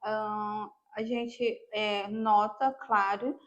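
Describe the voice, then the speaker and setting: A woman's voice: medium pitch, flat delivery, very slow, lo-fi sound. A Brazilian woman talking casually as a podcast guest, sharing personal experience.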